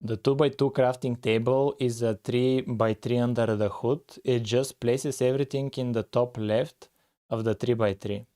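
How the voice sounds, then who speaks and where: Male streamer, laid-back, mid-pitched, soft dark voice. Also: normal speed. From Bulgaria. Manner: relaxed, thinking aloud with frequent "uh". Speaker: live-streaming game developer. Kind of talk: casual live coding stream with chat.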